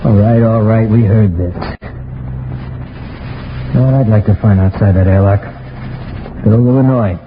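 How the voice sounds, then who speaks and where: An actor playing a jumpy, homesick spaceship lieutenant in an old-time radio drama, restless and informal. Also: male voice, lowish voice, unhurried, long pauses, close to an everyday voice. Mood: nervous. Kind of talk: mid-century American radio drama acting. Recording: dull, noisy old radio recording.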